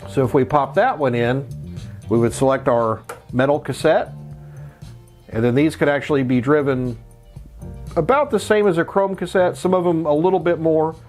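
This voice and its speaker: A man's voice: fairly high pitch, normal speed, long pauses, a little mellow. A middle-aged man hosting a casual how-to tutorial, explaining things plainly, step by step. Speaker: host of a vintage electronics tutorial channel. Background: music.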